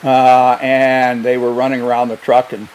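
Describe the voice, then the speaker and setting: An older man, a former wildlife biologist, telling stories in an unhurried, hesitant way with many fillers. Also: mid-range voice, completely flat delivery, a little mellow, a little hiss or hum, rough recording. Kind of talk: casual storytelling.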